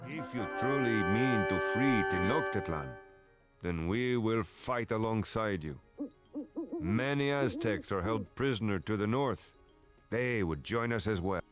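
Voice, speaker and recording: A loyal, cautious Aztec warrior in a strategy game. He speaks low and very deliberately, with grave weight on his words and some music behind him. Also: animated. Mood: resolute. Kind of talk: scripted game dialogue.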